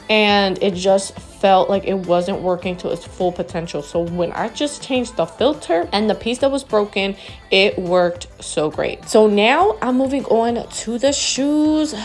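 A woman in her thirties talks through her housework in a chatty, easygoing voice-over. Her pitch sits in the middle, and soft music plays faintly beneath her. The tone is casual and upbeat. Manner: chatty, upbeat narration with small laughs. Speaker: stay-at-home mom vlogger. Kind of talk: casual cleaning vlog voice-over.

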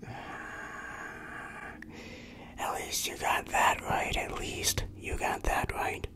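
An ASMR performer in a breathy whisper, playing a bossy, secretive underground dealer in a hushed roleplay. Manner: slow, hushed, secretive delivery with repeated phrases.

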